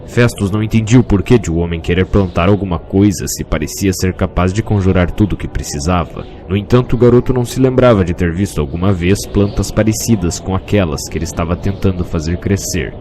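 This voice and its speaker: A man's voice: deep voice, a little fast, few pauses, mellow, faint music behind. A man with a Brazilian accent narrating a scripted fantasy story.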